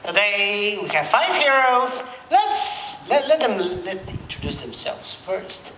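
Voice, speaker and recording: A man's voice: sits high, big pitch swings, a little slow, background noise. A man in his thirties, a teacher quizzing students in a casual, jokey, encouraging way and repeating their answers back. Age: 30s. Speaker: English teacher and examiner. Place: indoor language-centre room. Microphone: headset. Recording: echoey classroom.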